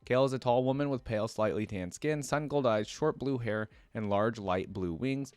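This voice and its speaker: A man's voice: medium pitch, moderate pace, a little mellow, clean tone, dry recording. A man talking casually and enthusiastically as a reaction video host, commenting and reading text aloud.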